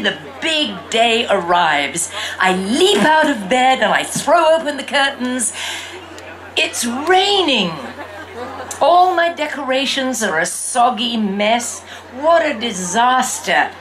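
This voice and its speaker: A woman reading a storybook in the first person as an exuberant young girl who fancies herself a fairy princess, with theatrical, drawn-out emphasis. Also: a little high, rough recording. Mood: dramatic, excitable.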